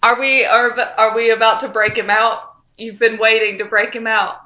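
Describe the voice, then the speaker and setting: Female podcast co-host, crisp forward brightness, slightly high pitch, effusive and conversational. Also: flat delivery, normal speed, muffled recording. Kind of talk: casual fan discussion.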